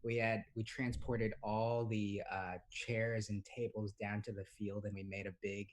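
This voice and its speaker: A man's voice: fairly low pitch, level tone, unhurried. Rambling young man telling stories. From Hong Kong. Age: young adult. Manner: rambling storytelling with many fillers and restarts. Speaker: podcast co-host. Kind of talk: casual chat.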